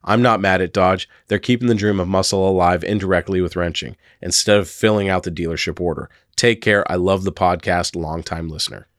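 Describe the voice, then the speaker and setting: Hoarse man, deep voice, dry close sound, loose chatty podcast host. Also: steady tone, brisk, few pauses, dry recording. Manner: rambling, chatty with many fillers. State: hoarse from a sore throat. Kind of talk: casual podcast talk.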